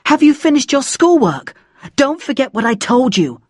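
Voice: sits high, very animated, normal speed, no echo, clean studio sound, voice pushed up. A narrator voicing an angry, possessive middle-aged mother in an audiobook story, scolding and complaining insistently. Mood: nagging, scolding.

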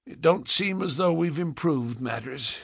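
A man voicing a weary, hardened adventurer who speaks gruffly in plain, rustic frontier speech, as in a storybook. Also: lively intonation, unhurried, dry recording, studio quality, full character voice. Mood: resigned. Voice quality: deep, harsh voice.

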